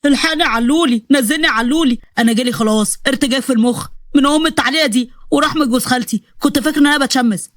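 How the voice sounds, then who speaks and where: A woman with an Egyptian accent voicing a cowardly young male soldier with a lowered, whiny voice, pleading and dramatic. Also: very fast, few pauses, no room sound, studio quality, close to an everyday voice. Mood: whining.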